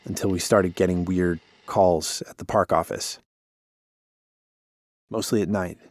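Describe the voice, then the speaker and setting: An actor playing a guilt-ridden, defensive former park warden in an audio drama, telling things in a weary, halting way. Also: male voice, deep voice, steady tone, a little mellow, no room sound. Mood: somber, haunted. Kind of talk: cinematic audio drama acting, naturalistic.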